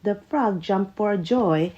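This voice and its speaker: A woman reading a rhyming children's storybook aloud with expressive narration. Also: medium pitch, slow pace, dull audio. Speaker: storytime reader.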